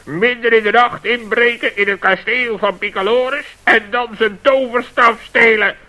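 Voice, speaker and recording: A self-important wise old bird in a radio play, fussy and pompous. His voice is high and very bright. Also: fairly even intonation, moderate pace, heavily acted, rough recording.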